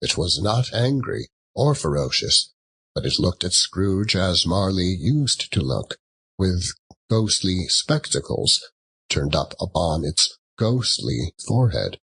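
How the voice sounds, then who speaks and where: A man narrating a classic story as an audiobook, theatrical, with dramatic and deliberate phrasing. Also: deep voice, very animated, a bit bright, husky.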